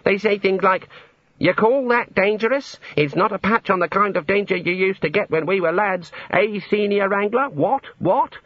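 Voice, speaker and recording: A man's voice: high voice, moderate pace, dry recording, dull audio, pitched above the performer's own voice. A man voicing an earnest, exasperated young wizard scholar, gloomy, hesitant and awkwardly polite, in an audiobook story. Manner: hesitant, gloomy, long-suffering.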